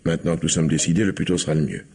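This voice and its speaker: An actor playing a self-satisfied middle-aged factory owner in a French radio drama, gravelly and husky, patronising yet hesitant. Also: male voice, a bass voice, fairly even intonation, racing, warm tone, full character voice. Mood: awkward, evasive. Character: self-satisfied factory owner pushing out his brother's household.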